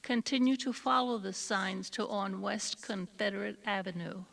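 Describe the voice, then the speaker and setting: A woman reading scripted driving directions in a measured, instructional way. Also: mid-range voice, gravelly, background noise. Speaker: tour directions narrator. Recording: slightly distant old cassette recording.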